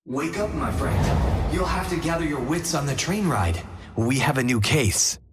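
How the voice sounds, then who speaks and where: An actor playing a composed, eager detective in a mystery game. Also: male voice, on the high side, expressive, a little slow, over music. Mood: brisk, businesslike. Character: composed, eager male detective rousing his partner. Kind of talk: video game voice acting.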